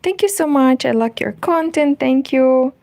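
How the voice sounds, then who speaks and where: A woman's voice: sits high, vocal fry. A woman whose first language is Romanian, speaking English with a slight Russian accent, chatting casually and laughing while she crochets and reacts to videos. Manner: relaxed, laughs often, slow and unhurried. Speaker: crochet vlogger and conference interpreter.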